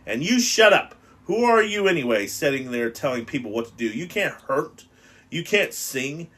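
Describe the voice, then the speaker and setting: A man doing a loud defiant boy hunter. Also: mid-range voice, normal speed, lightly acted. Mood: mocking. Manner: shouting, mocking.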